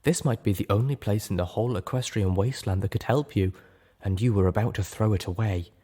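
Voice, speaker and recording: A man's voice: on the low side, fairly quick, dark tone, natural voice. A man voicing a cautious, hurt but obstinate female pony in a dramatized audiobook, speaking carefully and insistently.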